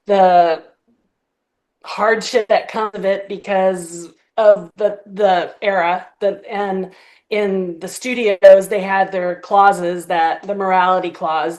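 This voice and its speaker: A woman producer as a podcast guest on a video call, explaining things informally and haltingly. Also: mid-range voice, fairly even intonation, darkish tone. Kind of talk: casual chat.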